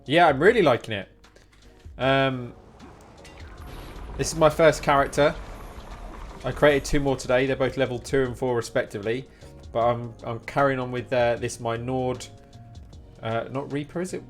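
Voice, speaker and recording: A man's voice: mid-range voice, fairly even intonation, normal speed, pauses often. Guy live streaming a game, chatting casually to chat, bit of music under it. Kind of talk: casual gaming stream chat, replying to viewers.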